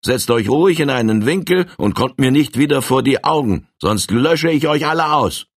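A man voicing a calm, fearless giant frontiersman who speaks with cool, firm command in an audiobook. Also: mid-range voice, moderate pace, husky, no room sound, studio quality, pitched above the performer's own voice. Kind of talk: audiobook character voice.

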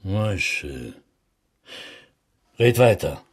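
Gruff butcher, Yiddish-inflected German, low pitch, lively swings, terse radio-play delivery. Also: male voice, very slow, full character voice. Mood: tense, urgent. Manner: terse, urgent questioning. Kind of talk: radio drama acting.

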